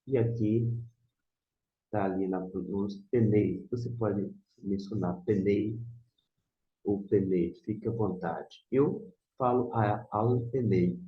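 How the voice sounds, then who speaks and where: A man with a Brazilian Portuguese accent giving an informal tutorial as a language teacher, didactically repeating and sounding out words. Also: on the low side. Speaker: Biblical Hebrew teacher. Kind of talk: informal screen tutorial lesson. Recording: muffled, echoey room.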